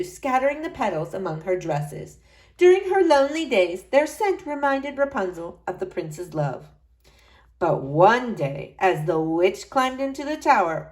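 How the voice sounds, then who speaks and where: A woman reading a fairy tale aloud as an expressive storyteller. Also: medium pitch.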